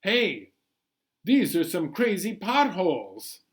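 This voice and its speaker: A man reading a picture book aloud as a chatty, bemused New York City taxi driver, colloquial and animated. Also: high-pitched, takes it slowly, pitched above the performer's own voice, full character voice. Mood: surprised, questioning. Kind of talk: first-person picture book read-aloud.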